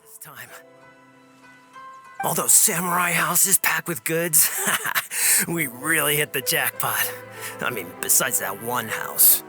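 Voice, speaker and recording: An actor playing a whiny, excitable, dim bandit henchman in a video game. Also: a little high, slow, music in the background. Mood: gloating. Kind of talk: video game cutscene voice acting.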